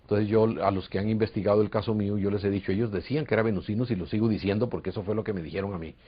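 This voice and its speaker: Husky low-voiced Costa Rican man. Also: fairly even intonation, rapid-fire. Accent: Costa Rican Spanish. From Costa Rica. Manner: earnest, rambling storyteller with hesitations. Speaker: interviewee, UFO contactee. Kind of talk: recorded interview. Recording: dull lo-fi recording.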